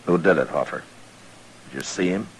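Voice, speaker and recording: An actor playing a stern, dry-humored frontier marshal in a radio western, with an American accent and a steady, laconic delivery. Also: male voice, deep voice, moderate pace, a little hiss or hum, rough recording. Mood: grave. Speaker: lead actor and narrator. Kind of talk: old-time radio western acting and narration.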